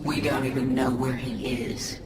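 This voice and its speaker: An actress playing a vengeful, commanding alien queen in a video game cinematic, speaking gravely and imperiously. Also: rumbling low, level tone, a little slow, loud music behind the voice. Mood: ominous resolve. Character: vengeful, commanding alien swarm queen, battle-hardened woman. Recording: echoey, distant, under loud score.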